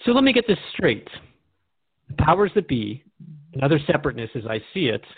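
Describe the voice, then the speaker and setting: A man's voice: a little high, big pitch swings, brisk, breathy edge, dry recording. A male radio host in a dramatized dialogue, earnest and reflective as he questions his partner. His intonation moves up and down a lot. The sound is close and dry, slightly dull and lo-fi.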